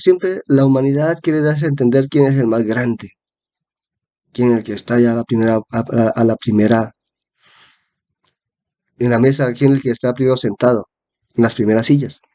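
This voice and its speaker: A man's voice: mid-range voice, dark tone. A man giving a Bible-teaching sermon, congested with a cold and repeating phrases for emphasis. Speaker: preacher.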